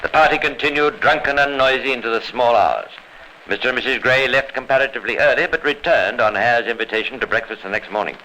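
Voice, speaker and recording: A grave, authoritative narrator voiced by a man tells a radio crime story with formal drama. The voice is sharp and forceful, on an old recording with slight background noise. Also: medium pitch, fast talker, lightly acted, rough recording. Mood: grave, dramatic. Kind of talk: 1950s radio scripted narration.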